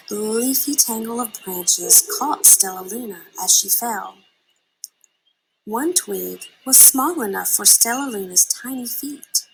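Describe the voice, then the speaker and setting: A woman reading a children's storybook aloud in an expressive, dramatic manner, drawing out her words. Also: medium pitch, gravelly, slight background noise, rough recording. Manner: unhurried, dramatic delivery with drawn-out words. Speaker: storybook reader.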